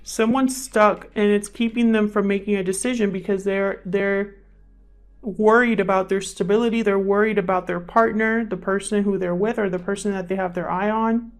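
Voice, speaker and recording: A woman's voice: mid-range voice, steady tone, moderate pace. A woman giving a casual, improvised tarot reading, thinking aloud as she talks to the cards and to her viewers. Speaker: tarot reader, channel host. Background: background music.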